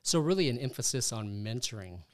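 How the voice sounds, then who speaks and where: A man's voice: medium pitch, big pitch swings, fairly quick. A man hosting a faith-based podcast interview from a university studio, friendly and chatty, with fillers and easy laughter. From Southern California. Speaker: podcast host.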